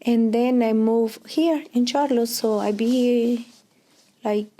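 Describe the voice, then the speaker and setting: A woman's voice: on the high side, steady tone, slow, mellow. A woman in her thirties from Colombia with a Colombian Spanish accent, speaking English as a second language in a casual interview, hesitant with many fillers and laughs. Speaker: interviewee, preschool Spanish teacher.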